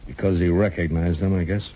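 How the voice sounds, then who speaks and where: An actor playing a stern, weary Old West marshal in a 1950s radio western, with an American accent and a terse, dry delivery. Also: male voice, low-pitched, steady tone, brisk, dark tone. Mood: grim. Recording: dull old radio broadcast.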